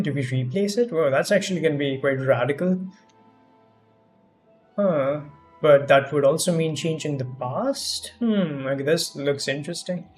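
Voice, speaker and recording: A man livestreaming a game, commenting casually and thinking aloud in a rambling way. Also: on the high side. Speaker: game streamer. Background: game music.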